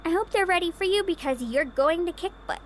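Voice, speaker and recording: An actress playing a cheerful, teasing teenage little sister in an anime-style game. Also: female voice, extremely high pitch, lively intonation, brisk, slight background noise. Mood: excited, supportive. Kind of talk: anime-style visual novel voice acting.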